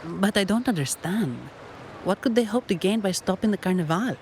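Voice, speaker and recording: A woman voicing a wry young businesswoman caught up in an investigation, brisk and matter-of-fact, over game music. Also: mid-range voice, darkish tone, a little hiss or hum, natural voice. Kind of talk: game cutscene voice acting.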